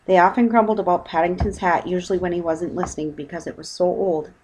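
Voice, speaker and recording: Woman reading a children's story, lowish voice. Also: fast, a little mellow, a little hiss or hum, rough recording. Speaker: audiobook narrator. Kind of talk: scripted children's story narration.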